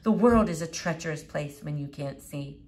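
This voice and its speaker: A woman's voice: low-pitched, moderate pace, a little mellow, pitched below the performer's own voice, lightly acted. Woman voicing a pompous, formal young boy. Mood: courtly, solemn. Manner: formal, long-winded, precise speech. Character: pompous, verbose eleven-year-old boy, oddly formal. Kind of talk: children's audiobook character.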